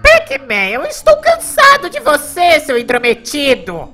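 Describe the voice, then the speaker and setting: A man's voice: very high-pitched, wildly swooping pitch, measured pace, pushed and bright, soft background music, heavily acted. A man voicing an angry, imperious witch who commands ghosts in a cartoon game, with menacing delivery.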